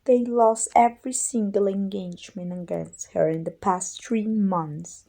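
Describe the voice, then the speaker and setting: A woman playing a proud, weary evil queen and sorceress in an ASMR roleplay, with a husky, breathy voice and an imperious, deliberate delivery. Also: medium pitch, warm tone. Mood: grave, brooding. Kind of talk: scripted ASMR roleplay acting.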